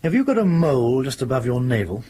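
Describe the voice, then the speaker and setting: An actor playing a wry, resigned, dejected airline captain in a radio drama. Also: male voice, mid-range voice, big pitch swings, quick pace, dark tone, slightly rough. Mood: tentative, curious.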